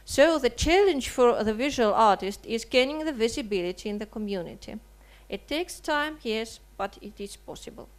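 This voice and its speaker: Woman, slightly high voice, writer reading a statement, measured and earnest, rhetorical questions. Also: animated. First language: Russian. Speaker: writer and translator. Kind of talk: reading a prepared seminar statement. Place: seminar hall.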